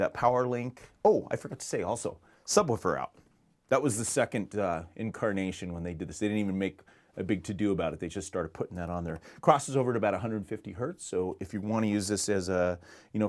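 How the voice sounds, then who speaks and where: Middle aged american guy, lowish voice, chatting through a store product demo, kinda rambly and unscripted. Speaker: music store product presenter. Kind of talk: casual unscripted product demonstration.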